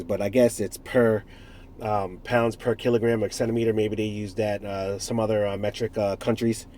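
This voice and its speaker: A man's voice: lowish voice, dark tone, slight background noise. A man in his forties from the United States with a stuffed-up nose from a cold, casually reviewing a product in a rambling, self-correcting way with jokey asides. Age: 40s.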